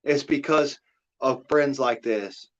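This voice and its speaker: Casting: American man, mid-low voice, plainspoken and salty, rambling off the cuff; slightly dull, low-fidelity recording. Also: slow, a bit bright. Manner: blunt, folksy, profane. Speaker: livestream host. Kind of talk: rambling casual monologue. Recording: dull, lo-fi audio.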